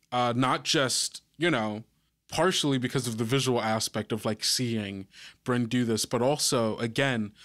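A man describing scenes in a tabletop role-playing game in a measured, improvised way. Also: mid-range voice, fairly bright tone.

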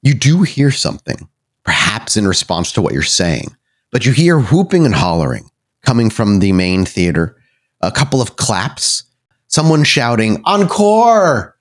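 Low-voiced, animated male game master. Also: a little slow. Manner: descriptive, conversational. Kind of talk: improvised tabletop game narration.

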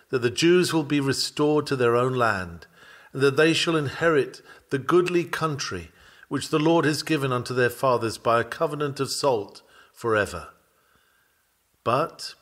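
A man reading a sermon aloud in a measured, solemn and formal manner. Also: medium pitch, fairly bright tone. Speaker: sermon reader. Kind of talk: read-aloud sermon narration.

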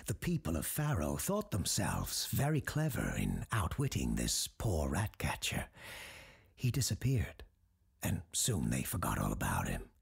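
An actor playing a grave, scholarly doctor who recounts dark legends in a horror audio drama, husky and ominous, with deliberate dramatic pauses. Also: male voice, extremely low pitch, huge pitch swings, full character voice. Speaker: character host telling a tale.

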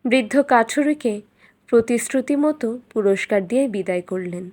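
A woman storyteller reading a scripted fairytale in an expressive, dramatic way. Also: a little high, moderate pace.

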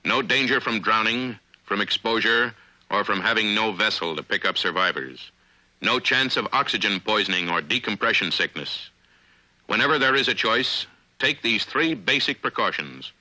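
An American man narrating a scripted 1950s military training film in a measured, authoritative, instructional way, on a vintage film soundtrack. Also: fairly low pitch, expressive, sharp tone, poor audio.

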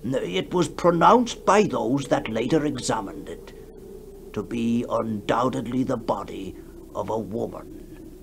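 A man acting a shaken, formal witness recounting horrors haltingly in a 1960s radio horror drama. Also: medium pitch, lively intonation, gravelly. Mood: grave, haunted. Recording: noisy 1960s radio recording.

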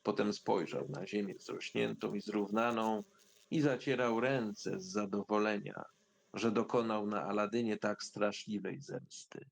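A man's voice: on the low side, steady tone, a little slow, creaky. A man narrating a fairy tale from a script in a dramatic storytelling style.

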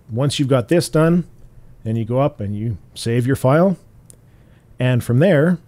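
A man with a bassy voice walks through software steps in a relaxed, explanatory way, with fillers and restarts. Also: dry recording. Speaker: tutorial host. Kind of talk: screen-recorded software tutorial.

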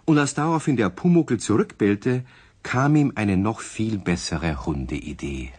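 A man narrating a 1960s radio play in a measured, storytelling way. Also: lowish voice, expressive, heavy background noise.

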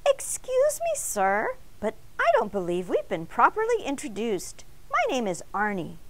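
A woman voicing an excitable, indignant little male donut in a children's storybook, dramatic and exclaiming but very polite when pleading. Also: sits high, unhurried, voice pushed up, heavily acted. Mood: sweetly polite.

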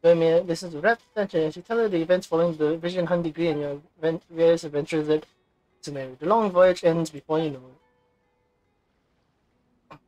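A man gamer casually reading along and reacting to game dialogue with relaxed, offhand remarks. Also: fairly high pitch, measured pace, pauses often, dry recording, poor audio. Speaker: gamer recording a playthrough. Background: game music.

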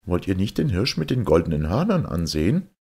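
A man playing a plain-spoken, put-upon fairy-tale huntsman, earnest, with a louder, raised, husky voice. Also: low voice, very animated, brisk, pitched above the performer's own voice, exaggerated voice. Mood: bold.

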